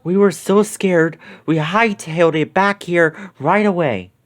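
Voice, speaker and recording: A man's voice: high voice, a little slow. A man voicing a shaken, earnest young camper in a storybook, retelling things dramatically. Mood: frightened.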